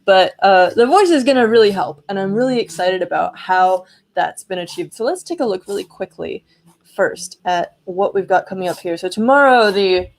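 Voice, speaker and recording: A woman's voice: medium pitch, animated, normal speed. A woman talking casually and enthusiastically to viewers as the host of a tech live stream. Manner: enthusiastic, exclaiming.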